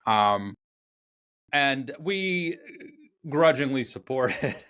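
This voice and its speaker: A man's voice: medium pitch, lively intonation, drawn-out words, fairly bright tone. An American man hosting a podcast, chatty and jumping in with questions. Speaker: podcast host. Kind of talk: casual conversation.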